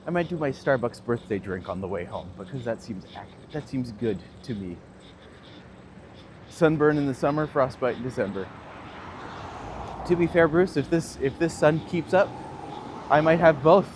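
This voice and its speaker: A man's voice: a little high, darkish tone. A man in his thirties with a Northern Ontario accent, chatting and laughing as he answers viewers while live-streaming a walk outdoors. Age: 30s. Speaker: tour guide livestreaming. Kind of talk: casual live-stream chat. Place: outdoors on a city street. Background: faint street traffic.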